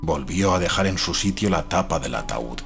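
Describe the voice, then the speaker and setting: Deep-voiced man narrating gothic horror. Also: expressive, normal speed, gravelly, a bit bright. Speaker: audiobook narrator. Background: faint music.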